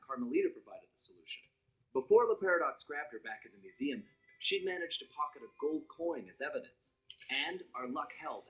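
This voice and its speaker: Cool young american thief hero, telling his story in a game cutscene, wry, really lively up and down pitch. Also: low-quality recording. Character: cool, loyal young male master thief narrating his adventures. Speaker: narrator and hero. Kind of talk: scripted video game cutscene narration.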